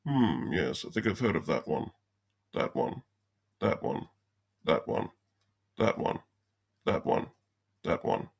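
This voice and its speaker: A man playing a gruff animatronic stage-show character in an audio drama, with a rough, gravelly voice and a drawn-out, swooping delivery. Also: fairly low pitch, sing-song, exaggerated voice. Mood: pondering.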